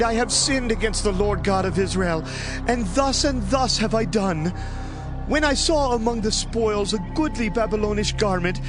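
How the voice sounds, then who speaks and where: Casting: remorseful biblical sinner, high voice, solemn confessional delivery over clear background music. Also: male voice. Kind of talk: dramatized audio Bible acting.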